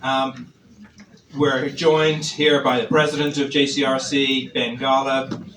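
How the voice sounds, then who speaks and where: A man's voice: medium pitch, flat delivery, a bit bright, far from the mic, slight background noise. Irish man speaking in a roomy hall. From Ireland. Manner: measured, halting, with many fillers. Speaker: organization representative. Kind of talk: public welcoming speech. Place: event hall.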